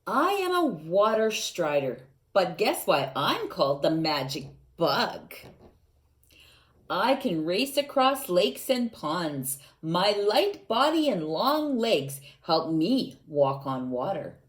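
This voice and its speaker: A woman voicing a proud little water strider bug in a storybook, reading slowly with a sense of wonder. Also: fairly low pitch, big pitch swings.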